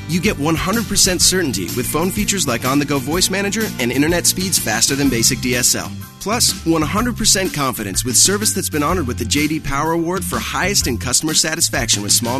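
Brief: male ad announcer, quick, higher voice, clear music bed. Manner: wry, upbeat pitchman. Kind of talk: scripted business ad read.

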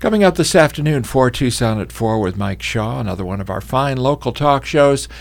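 A man's voice: mid-range voice, expressive, fairly quick, gravelly, no room sound. A man hosting a radio talk show, asking easygoing, chatty questions with many fillers. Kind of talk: radio interview.

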